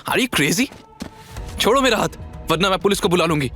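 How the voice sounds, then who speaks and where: A man's voice: sits high, lively intonation, a little fast, pushed and bright, pitched above the performer's own voice. A man voicing a proud, firm young woman who stands up for herself in an audio drama, over music. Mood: defiant anger. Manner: firm, defiant.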